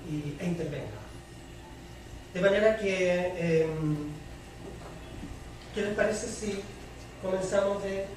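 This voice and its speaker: A man speaking formally and in a measured way as the moderator of a public panel debate. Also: sits high. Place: large echoey room at a public event. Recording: echoey hall, distant mic, faint noise.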